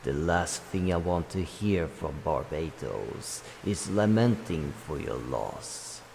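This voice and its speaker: A wise old dragon acted out by a man speaks from within a long, echoing reverb with faint noise. The voice is low and gravelly, with grave, formal phrasing and deliberate pauses, like a protective guardian giving counsel in a fantasy game. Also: animated, a little mellow, exaggerated voice. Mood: advising, cautioning. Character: ancient male dragon, solemn, wise, protective and cautioning. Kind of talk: fantasy game roleplay monologue.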